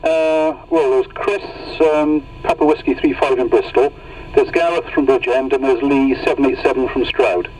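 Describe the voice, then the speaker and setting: A man's voice: mid-range voice, steady tone, moderate pace. Male CB operator, distant amid radio noise. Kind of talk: casual radio chat. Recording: noisy CB radio audio, distant mic.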